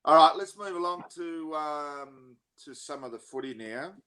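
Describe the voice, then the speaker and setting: A man's voice: on the high side, a little slow, pushed and bright. A middle-aged man hosting a podcast, asking rambling questions and joking around. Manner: jokey, chatty, rambling questions. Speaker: podcast host. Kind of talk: casual interview chat.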